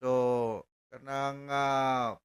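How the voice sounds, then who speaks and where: A man's voice: mid-range voice, little pitch movement, very slow, clean tone. A man with a Cebuano Filipino accent giving informal health advice, explaining things emphatically and repeating key words.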